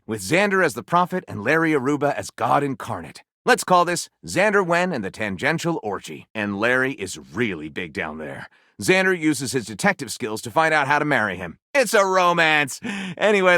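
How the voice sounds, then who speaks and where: A man voicing an excitable, pompous author of trashy novels in a video game, with a theatrical, sardonic manner. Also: a little high, dry recording, clean studio sound, exaggerated voice. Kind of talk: video game character voice acting.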